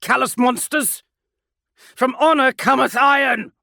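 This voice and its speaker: A man's voice: very high-pitched, fairly even intonation, measured pace, gravelly, sharp tone, no echo, studio quality, voice pushed up, heavily acted. A man voicing a principled young space marine who argues with impassioned, pleading defiance.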